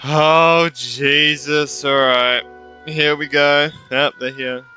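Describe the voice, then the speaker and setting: A young man giving casual, informal, exclamatory live commentary as a gaming streamer, halting as he thinks, close on the microphone. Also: on the high side, steady tone, bright, forceful tone, faint music behind.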